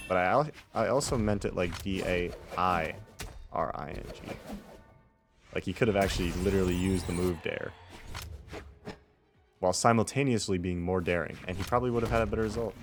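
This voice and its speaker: A man's voice: low voice, a little fast, music in the background. A man giving casual, off-the-cuff commentary on his own game replay in a rambling, wry and self-deprecating way.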